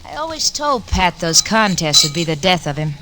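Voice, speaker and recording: Calculating femme fatale, bright sharp voice, clipped commanding delivery, noisy 1940s radio recording. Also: female voice, fairly low pitch, sing-song, normal speed. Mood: scheming, seductive. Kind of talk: 1940s radio crime drama acting.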